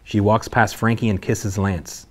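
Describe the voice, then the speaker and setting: A man reading the stage directions at a script table read in a flat, matter-of-fact way. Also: lowish voice, unhurried.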